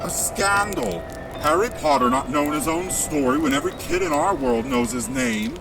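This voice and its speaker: A man playing a big, gruff, kindly, emotional giant of a man in an audio drama, speaking bluntly in rustic dialect with exclamations. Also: very high-pitched, very animated, normal speed, music in the background, pitched above the performer's own voice, exaggerated voice. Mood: outraged.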